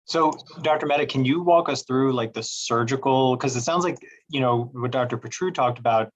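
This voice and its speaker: A man's voice: mid-range voice, normal speed, lo-fi sound, a little hiss or hum. A man hosting a medical webinar, asking questions in a loose, chatty way with plenty of fillers over a video call. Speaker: webinar host, doctor. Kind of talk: casual moderating of a medical webinar.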